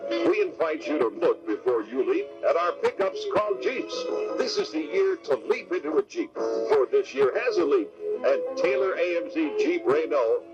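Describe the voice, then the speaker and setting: A man's voice: a little high, huge pitch swings, moderate pace. A man doing scripted radio announcing as host and advertising reader. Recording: dull radio broadcast tape. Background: music.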